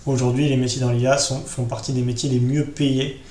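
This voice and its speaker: A man's voice: mid-range voice, flat delivery, brisk, a little mellow. A man giving an informal step-by-step screen-share tutorial, talking directly to his viewers. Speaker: tutorial host. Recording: roomy echo with clear background noise.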